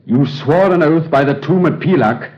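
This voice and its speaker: Brief: a man as a haunted young scholar, hesitant and anxious, lively pitch, dull old radio sound. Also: normal speed, close to an everyday voice. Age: young adult. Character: haunted young male archaeologist, nervous. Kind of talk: 1930s radio drama acting. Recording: dull 1930s radio recording.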